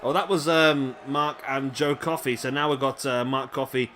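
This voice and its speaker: A man giving casual play-by-play commentary on a wrestling video game, chatty with rambling asides to viewers, his voice going a little hoarse. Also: medium pitch, fairly even intonation, moderate pace, fairly bright tone, slight background noise. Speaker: gaming commentator. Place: home room, late at night.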